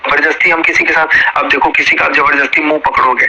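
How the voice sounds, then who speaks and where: A man's voice: on the high side, flat delivery, fast talker, muffled recording. A man in an interview, explaining at length in a rambling, casual way and often checking in with his listener. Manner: rambling, keeps checking in with "okay?". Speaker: interview guest. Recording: loud background noise.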